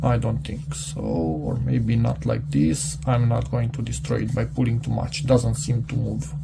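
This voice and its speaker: Man, gravelly creaky voice, casual product reviewer, hesitant and halting, muttering, clearly noisy recording. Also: mid-range voice, steady tone. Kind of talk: casual unscripted hands-on review, thinking aloud while reading a manual.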